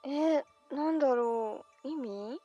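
A woman's voice: sits high, slow pace, mellow, dull audio, soft background music. A woman performing in an amateur radio drama as a hesitant, wondering young woman.

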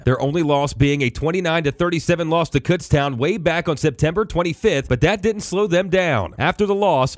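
A man's voice: a little high, dry recording, studio quality. A man working as a radio sports broadcaster, reading a scripted game preview.

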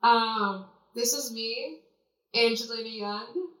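A woman's voice: on the high side, takes it slowly, fairly bright tone. A woman podcast host, congested from a cold, wrapping up a casual chat in a playful, laughing way. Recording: echoey room, from far off, faint music.

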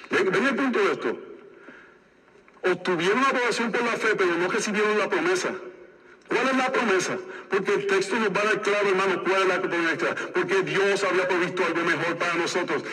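High, bright-voiced preacher with a lisp. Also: male voice. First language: Spanish. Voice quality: speaks with a slight lisp. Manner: exhorting, repetitive emphatic preaching. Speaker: pastor. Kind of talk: sermon. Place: church sanctuary. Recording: echoey hall, voice from far off.

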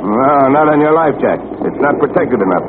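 An actor playing a steady, authoritative sea captain giving urgent orders in a 1940s radio serial. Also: male voice, medium pitch, normal speed, lightly acted. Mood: resolute. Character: Uncle Jim, steady commanding adult sea captain. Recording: tinny, very noisy 1940 radio recording.

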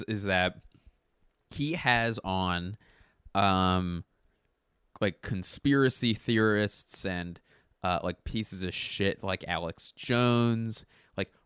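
A low-pitched man, a stand-up comedian, talking off the cuff at home. His voice is hoarse and he keeps stopping to clear his throat, yet the tone under it stays smooth and the sound is close and dry. He rambles, corrects himself mid-sentence and swears casually. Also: fairly bright tone, dry recording. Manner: rambling, self-correcting, profane. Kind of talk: casual talking-to-camera commentary.

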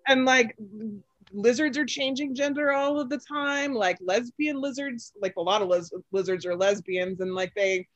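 Chatty woman with a lowish voice. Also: normal speed, no echo, muffled recording. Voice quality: low voice for a woman. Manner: animated, chatty, many fillers and laughs. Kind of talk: casual podcast chat.

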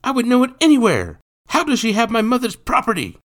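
High-pitched, earnest young street boy. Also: huge pitch swings, fairly quick, a bit bright, heavily acted. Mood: outraged, insistent. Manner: pleading and insistent. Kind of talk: audiobook character voice.

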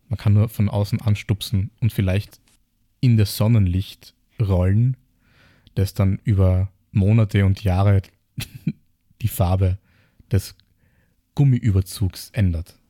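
A man's voice: fairly low pitch, fairly even intonation, measured pace, long pauses, mellow, no room sound, clean studio sound. An Austrian man co-hosting a podcast, explaining reflectively in casual, piecemeal phrases with rhetorical questions. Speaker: podcast co-host.

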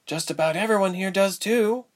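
A man's voice: high-pitched, normal speed, pitched above the performer's own voice, natural voice. A man voicing a tough, guarded young woman held hostage in an audiobook story. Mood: wry, guarded.

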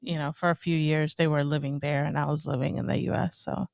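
A woman's voice: sits low, little pitch movement, fairly quick, creaky, darkish tone, no echo, clean studio sound. A woman who grew up in Ethiopia chatting casually as a guest, rambling through fillers and laughing now and then. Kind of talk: casual chat.

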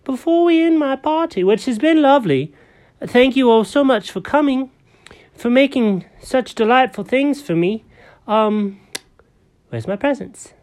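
A woman's voice: high-pitched, animated, a little slow, a little mellow, pitched above the performer's own voice. A woman voicing a naive, greedy male kitty cat who is obsessed with eating fish. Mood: gracious. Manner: eager, wide-eyed and fish-obsessed.

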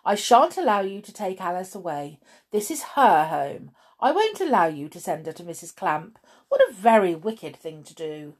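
A woman's voice: medium pitch, a little slow, close to an everyday voice. A woman voicing a kindly, lonely storybook spinster, fussy and protective, speaking with emphatic emotion. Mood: fierce, indignant.

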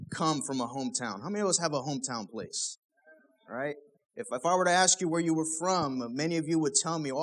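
A man's voice: a little high, fairly bright tone. A young man, a pastor, preaching a sermon in a casual, conversational way, full of rhetorical questions.

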